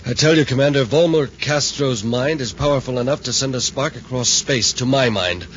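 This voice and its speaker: A man's voice: mid-range voice, moderate pace, a bit bright, natural voice. Man acting rattled, stammering scientist, old muffled radio. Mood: urgent, agitated. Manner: stammering, urgent, overwrought. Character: rattled, frightened male scientist doctor, inventor of a brain machine. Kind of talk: 1950s radio serial acting. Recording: noisy, muffled 1950s radio recording.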